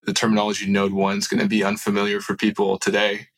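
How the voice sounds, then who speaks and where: A man tells personal stories as an interview guest, informal and earnest. His pitch is a little low and stays quite even. The recording is dry and free of room sound. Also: quick pace, dry recording. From born in Southern California, grew up in Turkey. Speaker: podcast guest, aerospace founder. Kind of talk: casual personal storytelling in an interview.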